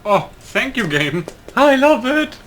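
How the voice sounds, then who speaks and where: Male gameplay streamer, off-the-cuff remarks, highly varied pitch, drawn-out pace, light ambient noise behind. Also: sing-song, a bit bright. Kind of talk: casual gameplay commentary.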